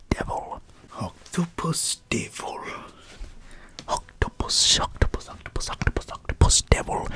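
A man in his twenties whispering playfully into a close microphone in ASMR style, repeating words over and over. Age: 20s. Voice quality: breathy, unvoiced whisper. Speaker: ASMR video creator. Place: indoors in front of a green screen. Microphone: large desk microphone at close range.

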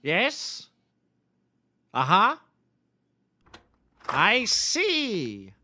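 An actress playing a composed, solemn cult leader in a comedy audio drama, measured, formal and dry. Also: female voice, mid-range voice, huge pitch swings, sharp tone, exaggerated voice. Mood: businesslike.

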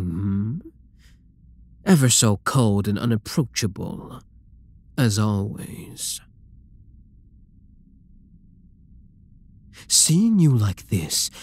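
Guy doing a possessive villain for asmr roleplay, super slow n breathy, menacing, slips into whispers. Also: lowish voice, exaggerated voice. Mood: frustrated, possessive. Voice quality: breathy, husky. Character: dominant, obsessive male supervillain taunting captured hero. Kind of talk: ASMR roleplay, scripted dramatic monologue.

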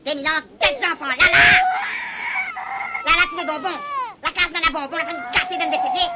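Shrill, yelling exasperated mother. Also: quick pace. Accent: Réunionese Creole. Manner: shouting, scolding. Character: loud, exasperated mother yelling at children in a supermarket.

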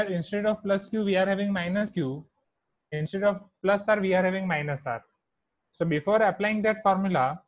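Indian guy teaching maths on a video call, kinda high voice, slow and careful, dry close mic. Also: no room sound. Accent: Indian English. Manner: slow, deliberate dictation of terms while writing on a whiteboard. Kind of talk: online class teaching.

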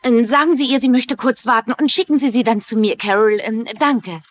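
An actress playing a polite, eager secretary, easily flustered, in a dubbed TV drama. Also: female voice, fairly high pitch, brisk, lightly acted. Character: flustered, eager, knowledgeable female stockbroker's secretary. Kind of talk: German-dubbed TV drama acting.

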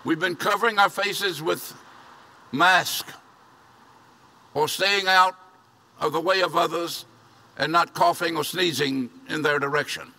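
A man's voice: on the high side, unhurried, long pauses, pushed and bright. An older man preaching outdoors from a podium to a drive-in congregation, earnest and repeating key phrases for emphasis. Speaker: pastor. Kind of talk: sermon. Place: outdoor church parking lot. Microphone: podium mic from a distance.

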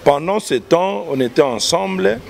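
A man's voice: on the high side, lively intonation, a little slow, creaky, a little hiss or hum. A man in his fifties from Kinshasa with a Congolese French accent, a pastor explaining at length in an interview in a church hall. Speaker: pastor and artist guest. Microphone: handheld mic held by host.

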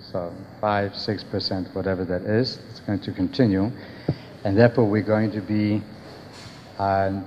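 An older man in perhaps his seventies giving halting, deliberate unscripted remarks as a panelist into a handheld microphone. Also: on the low side, a little mellow. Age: older, about seventies. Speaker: panelist, business executive. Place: panel table in brick-walled indoor hall. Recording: echoey hall, dull sound, faint noise.

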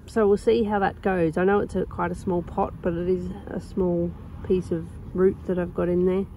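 Croaky woman chatting in a garden. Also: lowish voice, flat delivery, normal speed, slight background noise, poor audio. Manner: rambling, chatty, with many ums and soft laughs. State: croaky with a sore throat. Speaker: gardening vlogger. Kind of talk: casual chat. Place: outdoors in a sunny garden.

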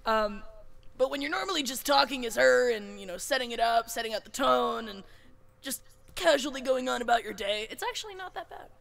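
Giggly woman with a slightly high voice. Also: expressive, brisk, vocal fry, fairly bright tone. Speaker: voice actor in a cast Q&A. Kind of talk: casual group chat.

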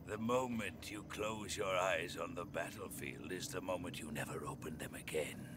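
A man playing an ancient, solemn sniper in a video game, speaking in a breathy, frail, portentous old voice. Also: low voice, moderate pace, background noise, close to an everyday voice. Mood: hushed reproach. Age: older. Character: ancient, solemn, slow old sniper awaiting his last hunt. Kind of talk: video game cutscene acting. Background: loud music.

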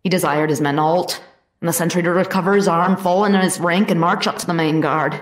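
A woman's voice: on the low side, fairly even intonation, brisk, lightly acted. A woman acting a plain-spoken, devout soldier's wife testifying firmly and formally in a historical courtroom drama. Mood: firm, earnest. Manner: firm, formal, period phrasing. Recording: roomy echo, distant mic.

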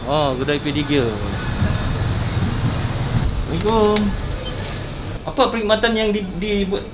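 High-pitched Malaysian man hosting a street vlog. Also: lively intonation, measured pace. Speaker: vlog host interviewing football fans. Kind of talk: casual street interview chat. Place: outdoors around a football stadium. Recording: loud music over outdoor street audio. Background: music, traffic and outdoor bustle.

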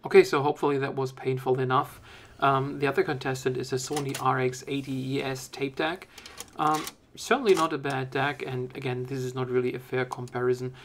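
A man's voice: medium pitch, little pitch movement, brisk, fairly bright tone, slight background noise. A man narrating a tech review in a casual way.

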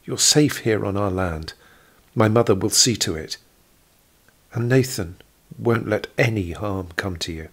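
Man voicing an earnest, pleading lovestruck youth, husky. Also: lowish voice, measured pace, pauses often. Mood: reassuring.

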